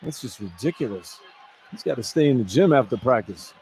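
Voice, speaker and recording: A man's voice: mid-range voice, lively intonation, fairly quick, a little mellow. A man giving conversational, opinionated color commentary on a live basketball radio broadcast. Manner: conversational, opinionated explanations of plays. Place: courtside in a basketball arena. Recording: clear arena noise behind the voice. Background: arena ambience.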